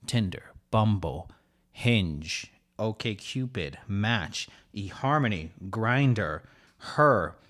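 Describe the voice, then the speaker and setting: A man's voice: fairly low pitch, animated, drawn-out words, a bit bright. Irreverent man in his thirties. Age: 30s. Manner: irreverent, joking, profane. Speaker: podcast co-host. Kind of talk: casual banter and commentary.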